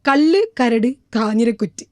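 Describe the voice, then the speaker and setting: A woman's voice: a little high, very fast, no room sound, studio quality. A woman with a Malayali Indian accent hosting a casual interview, chatty and giggly. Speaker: radio host interviewing a guest. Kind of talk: casual celebrity interview, mixing English and Malayalam.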